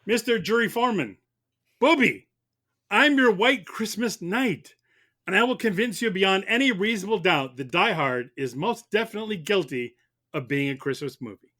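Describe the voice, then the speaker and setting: A man's voice: sits high, expressive, moderate pace, sharp tone. A man playing a prosecutor in a comic mock trial, making his case with wry, mock-serious lawyerly rhetoric. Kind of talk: semi-scripted comic courtroom argument.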